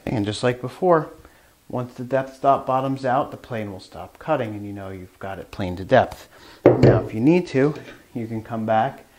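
A man's voice: mid-range voice, moderate pace, very noisy. A man hosting a woodworking show, explaining plainly as he works with hand tools in his shop. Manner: plain explanatory, talks while working. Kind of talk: hands-on tool demonstration.

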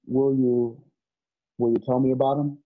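A man's voice: mid-range voice, little pitch movement, moderate pace, narrow, tinny audio, natural voice. A man playing an earnest, loyal and naive young companion in a western radio play, with hesitant, stammering delivery. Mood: gentle. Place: home, on a group video call.

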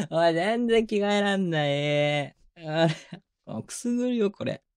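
A man playing a tender young boyfriend who teases and mock-scolds in casual, rough-edged speech, in an intimate binaural ASMR audio drama. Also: expressive, slow, heavily acted. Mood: playful exasperation. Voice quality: medium-low voice. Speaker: voice actor. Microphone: close binaural microphone.

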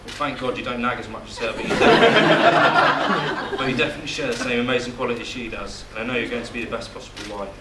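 A man's voice: medium pitch, fast talker. Young man, groom's speech, heartfelt and joking, roomy echo, noisy, far off. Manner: emotional, voice catching at moments, joking. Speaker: groom giving a wedding speech. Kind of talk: reading a wedding speech. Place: wedding reception hall, indoors. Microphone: far camera, no mic. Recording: echoey hall, distant camera, loud noise.

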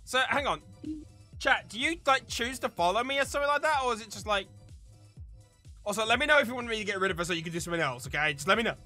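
A man doing excitable live gameplay commentary, exclaiming theatrically and talking to his chat. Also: high voice, fast talker. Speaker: video game streamer. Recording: game music behind.

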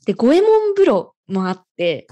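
A woman's voice: fairly high pitch, huge pitch swings, moderate pace, warm tone, highs cut off. A woman co-hosting a podcast, chatting casually in a polite, conversational way. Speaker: podcast co-host. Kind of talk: casual chat.

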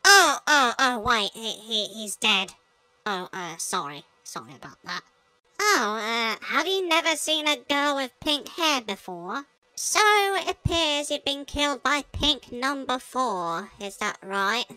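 A woman's voice: on the high side, lively intonation, unhurried, bright, forceful tone, no room sound, poor audio. A woman voicing a sassy, taunting animatronic girl with pink hair, in game voice lines. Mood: flustered. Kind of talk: video game character voice lines.

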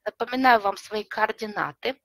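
A middle-aged woman presenting an online webinar from home, explaining a tool step by step in a friendly way with frequent pauses and fillers, a slight cough lingering. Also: mid-range voice, fairly bright tone. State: recovering from a cough. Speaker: webinar presenter, librarian and teacher. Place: home room with bookshelves. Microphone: webcam video call.

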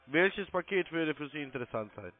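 A man with a slightly high voice gives phone sales instructions as a call centre agent. He is insistent and repeats himself. The sound is a narrow, muffled phone line, dry and free of room sound. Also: lively intonation, a little fast, no echo. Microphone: telephone call.

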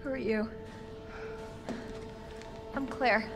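A woman's voice: on the high side, fairly even intonation, drawn-out words, creaky, loud music behind the voice, exaggerated voice. An actress playing a determined, caring young woman in danger, speaking with urgent insistence in a video game. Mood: anxious, insistent. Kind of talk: dramatic video game voice acting.

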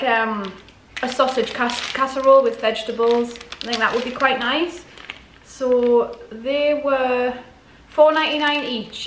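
A woman in her forties chatting casually to camera as a vlogger, often questioning herself aloud. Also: high voice. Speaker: vlogger showing a grocery haul. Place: indoors in a home dining room. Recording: echoey room, clear background noise.

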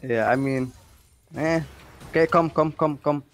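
Young male gamer on headset chat; giggly, needling and excitable. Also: on the high side, a little slow. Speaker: gamer streaming his match. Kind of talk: casual voice chat while gaming.

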